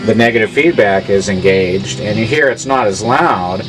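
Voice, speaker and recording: A man's voice: lowish voice, normal speed, rough recording. A man casually talking through a tube radio repair, thinking aloud as he works. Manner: plain, thinking aloud with restarts.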